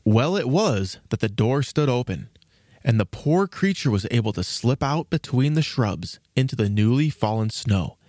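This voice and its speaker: A man with a mid-pitched voice reads a scripted fairy tale. He tells it expressively, in an old-fashioned storyteller's way. The recording is very dry. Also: expressive, moderate pace, a little mellow, no echo. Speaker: narrator.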